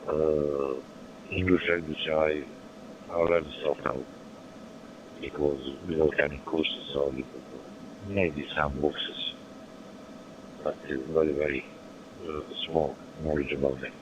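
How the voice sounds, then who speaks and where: German ham operator, man, booming low voice, hesitant English, hissy muffled signal. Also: little pitch movement. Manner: halting non-native English with fillers. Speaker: radio amateur. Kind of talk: ham radio contact. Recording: muffled noisy radio signal.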